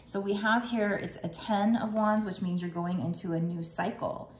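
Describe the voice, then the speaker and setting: A woman's voice: mid-range voice, moderate pace, echoey room, a little hiss or hum. A woman giving a casual tarot reading, rambling and reassuring, her voice slightly froggy. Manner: rambling, reassuring, many fillers. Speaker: tarot reader.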